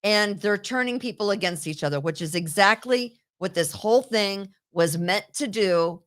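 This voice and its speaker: A woman's voice: medium pitch, a bit bright. Indignant woman hosting a talk show. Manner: indignant, rapid, repeats herself. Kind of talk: opinionated political chat. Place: New York.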